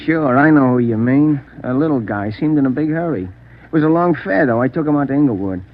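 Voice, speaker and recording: An actor playing a helpful, chatty cab driver in a 1940s radio drama, casual, on a tinny old recording. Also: male voice, mid-range voice, animated, brisk, slight background noise, lightly acted.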